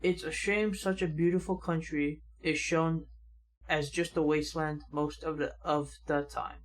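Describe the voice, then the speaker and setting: A young man hosting a reaction channel, casually reading viewer comments aloud and adding quick remarks of his own. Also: on the high side, level tone. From United States.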